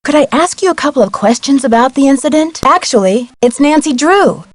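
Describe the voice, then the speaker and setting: A woman's voice: sits high, animated, a little fast, no room sound, clean studio sound. A woman playing a polite, inquisitive young female amateur detective in scripted video game dialogue. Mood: polite, friendly. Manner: courteous, inquisitive, clearly enunciated.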